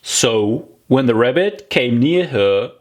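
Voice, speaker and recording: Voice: mid-range voice, expressive, slow pace. An audiobook narrator reading a scripted children's story.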